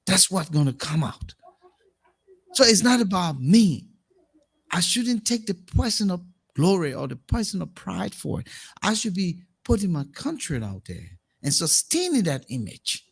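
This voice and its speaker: A man with a Liberian accent hosts a current affairs show, pressing his points with emphasis and repeated words. His voice sits a little high for a man. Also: big pitch swings, a little slow, gravelly, slightly rough. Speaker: talk show host.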